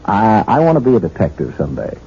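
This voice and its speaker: Radio drama casting: bashful rookie cop, American, husky, swooping pitch, stammers kindly, muffled old broadcast. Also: male voice, huge pitch swings, warm tone, heavily acted. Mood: sheepish, eager. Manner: halting, stammering, informal. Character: eager, bashful, kindly young rookie policeman.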